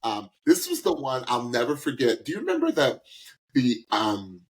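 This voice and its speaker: Animated man hosting a podcast. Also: mid-range voice, normal speed, low-quality recording. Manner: animated, emphatic, informal, repeats words for emphasis. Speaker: podcast host. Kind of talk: casual reaction podcast talk, giving opinions.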